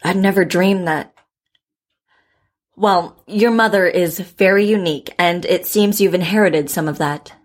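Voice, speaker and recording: Lady therapist in an audio drama, slightly low voice, careful n measured, asks probing stuff. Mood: calm, reminiscing. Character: measured, probing female psychotherapist, professional and composed. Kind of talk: naturalistic audio drama acting.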